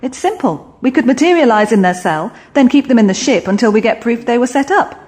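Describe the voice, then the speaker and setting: An actress playing a plucky young companion in an audio drama, informal, chatty and quippy. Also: female voice, fairly high pitch, expressive, fairly quick, a little mellow, natural voice. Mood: determined, quippy.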